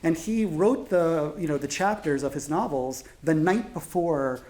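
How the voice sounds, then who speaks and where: A man in his forties speaking as a translator on a panel, halting, with many fillers and restarts. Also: fairly high pitch, dark tone. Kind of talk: panel discussion.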